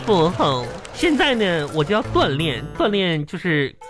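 A woman's voice: lowish voice, very animated, a little slow, music in the background. An actress playing a vain woman who puts on refined airs in a comic radio play, speaking in a stilted, mincing, mock-elegant way. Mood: affectedly genteel. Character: vain affected woman putting on refined airs, exploding when teased. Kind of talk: comedic radio drama acting. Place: radio studio.

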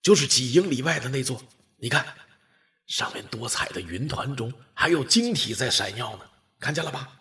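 An actor playing a friendly old dog, easygoing and eager, in a radio drama. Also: male voice, mid-range voice, sing-song, moderate pace, fairly bright tone. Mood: eager, playful. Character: friendly old dog, a space explorer's loyal companion.

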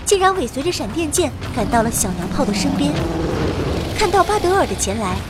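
A woman playing a timid young adventurer girl, narrating a battle in first person with expressive radio drama delivery. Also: high-pitched, fairly quick. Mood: tense storytelling. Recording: noisy battle effects behind.

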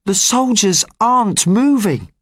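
A man's voice: sits high, expressive, pitched above the performer's own voice, exaggerated voice. A man reading aloud and voicing a cheeky, streetwise young boy in a raised, eager voice. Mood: urgent, secretive. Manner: eager, quick, loud whispers.

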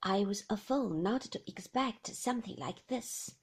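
A woman's voice: mid-range voice, expressive, a little slow, breathy edge. A woman voicing an upper-class sister-in-law who speaks in gushing, emphatic exclamations. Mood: dismayed.

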